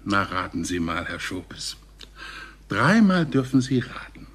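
An actor playing an agitated, authoritative official in a radio drama, speaking in a pointed, formal, insinuating way. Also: male voice, fairly low pitch, sing-song, a little slow. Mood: menacing. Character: agitated, authoritative male official scheming against outsiders.

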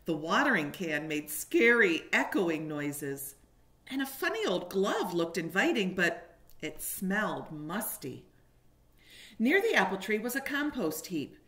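A woman's voice: medium pitch, measured pace. A woman in her forties reading a picture book aloud expressively and talking to young children. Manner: animated, explaining and questioning for young children. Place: living room, indoors. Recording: echoey room.

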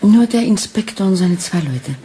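Fragile but defiant wife played by a woman, radio drama. Expressive swooping intonation, earnest, noticeable background noise. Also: medium pitch, normal speed, close to an everyday voice. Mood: quietly intense. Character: fragile, pleading wife turning quietly defiant. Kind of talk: German radio play acting.

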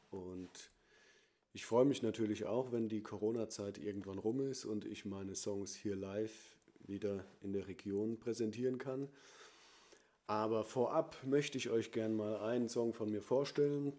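A man's voice: lowish voice, mellow. A man in his thirties from southwest Germany with a Kurpfalz accent, a singer-songwriter introducing himself casually to camera from a small home studio. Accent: Kurpfalz (Palatinate) German. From Ludwigshafen area, southwest Germany. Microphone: studio microphone on a stand in front of him.

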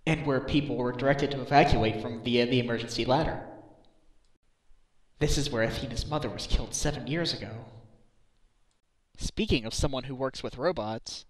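A man voicing a level-headed, wry defense lawyer with a dry, measured delivery in a game fan dub. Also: medium pitch, close to an everyday voice, poor audio.

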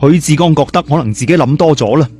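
A man's voice: a little high, fast, darkish tone, faint music behind. A man telling a ghost story in a dramatic, colloquial and suspenseful way.

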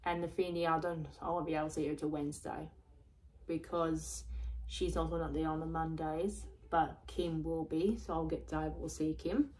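A woman talking in a rambling personal vlog, repeating herself often, with a voice going croaky. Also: deep voice, steady tone, moderate pace, darkish tone, rough recording. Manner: repetitive, loose, restarts and repeats herself often. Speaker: vlogger.

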